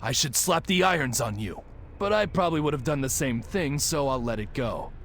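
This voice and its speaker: An actor playing a blunt, wry officer in a game cutscene. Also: male voice, mid-range voice, lively intonation, normal speed, gravelly, fairly bright tone, slight background noise. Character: gruff wry male officer.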